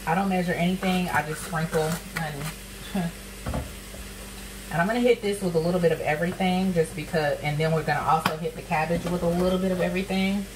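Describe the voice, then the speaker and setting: A young woman with a low voice and a drawl talks viewers through a recipe in her home kitchen, folksy and chatty. The camera sits a little off from her, and a frying pan sizzles clearly throughout. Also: fairly even intonation, brisk, background noise. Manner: chatty, folksy, calls viewers honey and sis. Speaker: cooking vlogger. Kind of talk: casual cooking demo. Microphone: camera nearby, speaker off to the side. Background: sizzling pan and stirring.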